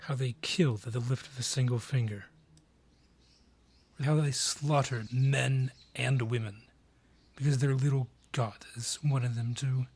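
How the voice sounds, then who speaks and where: A man playing a haughty, sly dryad who rules a forest, taunting and condescending in a breathy, husky voice, in a fantasy audio roleplay. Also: mid-range voice, fairly even intonation, a little slow, darkish tone. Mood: grave, scornful. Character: haughty, sly male dryad ruling a forest, menacing and mocking.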